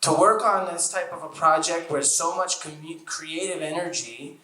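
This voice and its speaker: A man's voice: on the high side, moderate pace, sharp tone. A man interviewing an author onstage, asking friendly, hesitant questions. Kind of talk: casual onstage conversation. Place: festival event room. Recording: echoey room.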